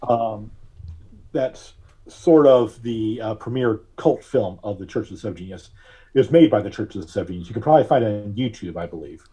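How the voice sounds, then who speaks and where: A man speaking as a podcast guest in a conversational interview, thoughtful and often halting as he searches for words. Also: on the low side, expressive, mellow, slightly rough. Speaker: podcast guest, artist and reverend.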